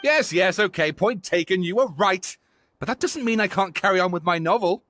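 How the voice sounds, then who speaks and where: High-voiced theatrical novelist. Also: male voice, lively intonation, normal speed, fairly bright tone, no echo. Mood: defensive. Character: theatrical, stubborn male novelist, gray-haired.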